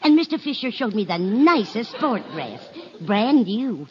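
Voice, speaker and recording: An actress playing a kindly, scatterbrained older landlady in a 1950s radio sitcom, with a husky, earnest, chatty voice. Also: a little high, sing-song, a little slow, darkish tone. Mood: chatty, helpful. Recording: dull vintage 1950s radio recording.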